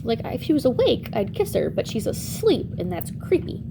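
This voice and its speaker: A woman voicing an awkward, hesitant young prince in a storybook tale, with deadpan, casual modern phrasing. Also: on the high side, normal speed, gravelly, background noise.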